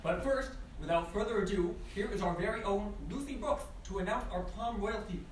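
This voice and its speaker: A man playing a stuffy high school authority figure at a screenplay table read. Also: a little fast, close to an everyday voice. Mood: announcing. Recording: very echoey room, distant mic, noise.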